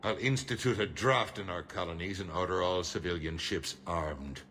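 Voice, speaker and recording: Deep, forceful, grave statesman. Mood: resolute. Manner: measured, oratorical, emphatic. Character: shrewd middle-aged human politician, grave and defiant. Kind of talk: cinematic game dialogue.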